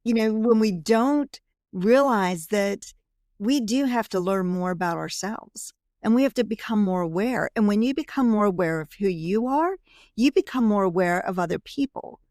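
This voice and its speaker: A woman's voice: medium pitch, animated, moderate pace, a little mellow, dry recording, studio quality. A woman who works as a coach, telling personal stories as a podcast guest, with frequent "you know" fillers. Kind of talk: conversational interview storytelling.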